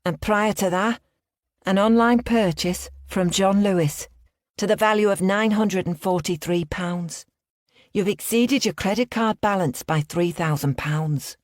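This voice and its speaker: A woman's voice: medium pitch, measured pace, dry recording, clean studio sound, pitched above the performer's own voice, natural voice. A woman reading an audiobook, voicing a female scam caller who poses as a bank worker in a put-on Liverpool accent, formal and overly polite. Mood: smooth, insistent.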